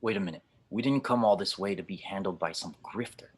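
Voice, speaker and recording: A wisecracking, distrustful space rogue played by a man in an audio drama. His voice sits fairly low and has a rough, husky grain. He is blunt and sardonic. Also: fast talker. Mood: suspicious. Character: skeptical, wisecracking, brash spacefaring gunslinger. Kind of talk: fan audio drama table read.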